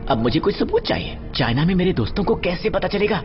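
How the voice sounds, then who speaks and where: Young monkey hero for cartoon dub: cocky, excitable, very animated delivery, music behind. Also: male voice, sits high, quick pace. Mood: defiant, indignant. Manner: boastful, excitable, cheeky. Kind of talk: animated film dub acting. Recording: dull lo-fi audio under music.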